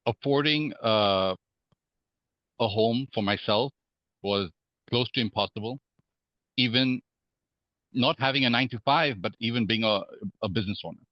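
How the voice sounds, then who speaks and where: A man from Hong Kong, a podcast guest, speaking in a measured way with many fillers and restarts. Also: medium pitch, no echo, dull audio. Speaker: guest real estate investor. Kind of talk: podcast interview.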